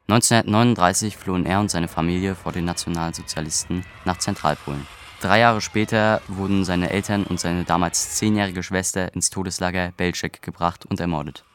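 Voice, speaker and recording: A man reading a biographical report in a steady, serious manner. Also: sits low, a little fast, a little hiss or hum. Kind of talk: read report.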